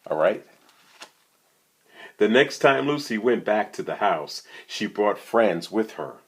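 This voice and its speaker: A low-pitched man reads a children's storybook at an unhurried pace, his voice rough-edged and husky. He speaks conversationally, slipping between the story and casual questions for his young listeners. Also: lively intonation. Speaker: storytime reader. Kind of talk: reading a picture book aloud, with casual asides to young listeners.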